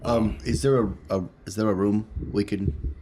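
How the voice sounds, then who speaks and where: Male role-play gamer, very low pitch, measured and hesitant, casual delivery. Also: fairly even intonation, warm tone, husky. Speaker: tabletop game player.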